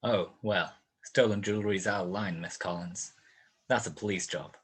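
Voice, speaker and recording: A man playing a world-weary, sardonic private detective in a 1940s-style radio play, with a wry, curt, deadpan delivery. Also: deep voice, normal speed, breathy edge. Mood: weary, reluctant. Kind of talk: 1940s detective radio play acting with first-person narration.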